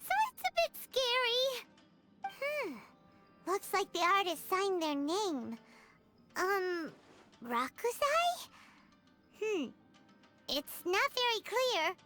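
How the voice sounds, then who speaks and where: A woman voicing a tiny, excitable, easily frightened fairy companion in a video game, whiny and expressive. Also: very high voice, takes it slowly, long pauses, full character voice. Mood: curious. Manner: refers to herself in third person, whiny, expressive. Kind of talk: video game character acting. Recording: game music behind the voice.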